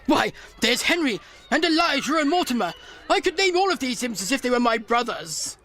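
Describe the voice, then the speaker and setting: A man's voice: very high-pitched, sing-song, brisk, pushed and bright, full character voice. A man playing an anxious old miser, earnest and plaintive, in a radio play. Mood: delighted.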